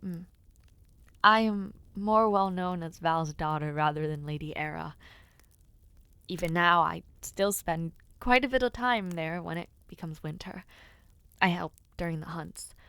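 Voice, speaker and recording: A woman playing an earnest, affectionate young noblewoman and would-be knight in an intimate audio roleplay, speaking with gently formal, sincere phrasing. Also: lowish voice, measured pace, clear, resonant tone, no room sound. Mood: earnest, proud. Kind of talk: audio roleplay, intimate one-sided dialogue to listener.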